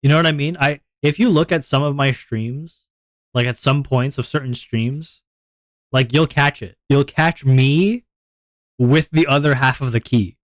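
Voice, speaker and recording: A man with a deep voice, streaming and chatting casually to his viewers in a rambling, self-reflective way, often repeating his words. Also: measured pace, dry recording.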